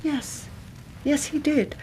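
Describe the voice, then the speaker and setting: An English actress playing an anxious, careworn young Victorian gentlewoman in a radio drama, speaking formally and precisely. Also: high-pitched, fairly even intonation, slow pace, a little hiss or hum, low-quality recording. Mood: composed, troubled. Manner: formal, precise, dramatic narrating with hushed moments. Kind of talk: British radio drama acting.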